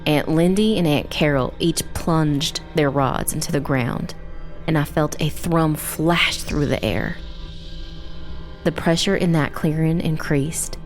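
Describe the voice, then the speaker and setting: A woman playing a young girl survivor who narrates a dark fantasy tale in the first person, measured and grave. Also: sits low, soft background music. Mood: somber.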